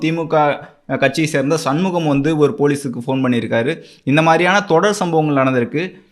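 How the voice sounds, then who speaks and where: A man with a Tamil Nadu accent presents political news commentary. His voice sits a little high for a male speaker. He explains in a semi-scripted way, with an emphatic delivery that leans on repetition.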